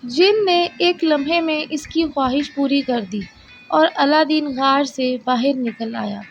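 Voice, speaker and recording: High-pitched woman narrating a children's story, unhurried. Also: gravelly. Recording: slightly dull, lo-fi narration track over music. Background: soft background music.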